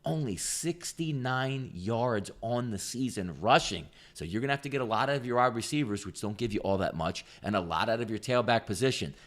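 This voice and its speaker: A man's voice: medium pitch, lively intonation, brisk, few pauses. Emphatic male sports betting host. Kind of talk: sports betting analysis to camera.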